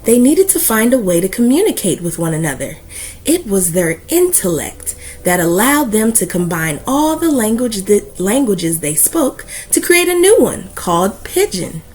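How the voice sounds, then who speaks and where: Expressive woman reading a storybook aloud, music behind. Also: mid-range voice, moderate pace, slightly rough. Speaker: read-aloud host.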